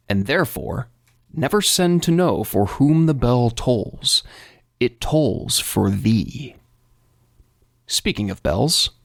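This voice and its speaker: An actor playing a creepy, stiffly polite man who speaks with slow, theatrical pauses in an audio drama. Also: medium pitch, dry recording, studio quality, heavily acted. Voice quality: gravelly, slow and deliberate. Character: creepy formal man, gravelly, quoting poetry.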